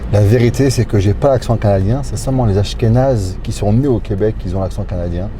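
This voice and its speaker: A man's voice: on the low side, fast. A middle-aged man, a rabbi and couples therapist, chatting casually in an interview, chuckling often and rambling with fillers. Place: inside a car in a city. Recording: car and street noise.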